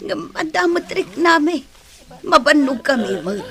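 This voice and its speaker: An actress playing a weary, long-suffering older mother in a radio drama, husky and exasperated. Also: sits high, sing-song, measured pace, fairly bright tone, exaggerated voice.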